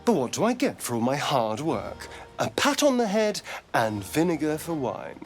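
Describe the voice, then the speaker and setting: A man's voice: fairly high pitch, wildly swooping pitch, normal speed, faint music behind. A man playing a vain, sardonic, flirtatious vampire elf in a video game, arch and theatrical. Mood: disdainful. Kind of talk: video game dialogue acting.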